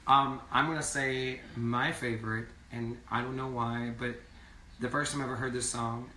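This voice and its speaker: A hoarse man in his thirties chatting casually on a live stream from a small room, rambling and reading viewer comments aloud. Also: mid-range voice, steady tone, moderate pace, pushed and bright, a little hiss or hum. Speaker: singing duo member hosting a live stream. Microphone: phone camera at a distance. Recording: echoey room, from a distance.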